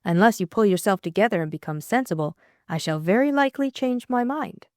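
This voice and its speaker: A woman voicing a witty, teasing young Englishwoman, dry and brisk. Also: medium pitch, expressive, darkish tone, dry recording, close to an everyday voice.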